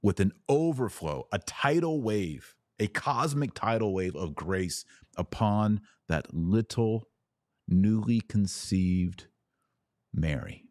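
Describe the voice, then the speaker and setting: Male podcast teacher, deep register, very dry recording, didactic and emphatic, answering listeners with weighted key words. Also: animated, slow pace, darkish tone, no room sound. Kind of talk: live theological teaching and viewer Q&A.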